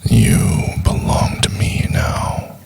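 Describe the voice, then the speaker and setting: A man playing a possessive, obsessive stalker boyfriend in an intimate ASMR roleplay, speaking in a husky, hushed half-whisper with soft menace. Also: natural voice. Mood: tender, possessive. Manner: slow, hushed, crooning insistence.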